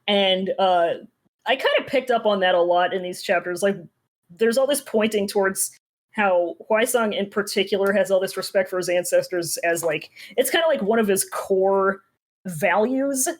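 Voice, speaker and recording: A woman's voice: medium pitch, moderate pace. Podcast co-host: woman, casual recap chat, irreverent, slangy, unafraid to swear.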